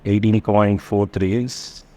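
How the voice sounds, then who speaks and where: A man giving a step-by-step tutorial as an engineering lecturer, halting as he works through math and checking that listeners follow. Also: fairly low pitch, fairly even intonation, darkish tone.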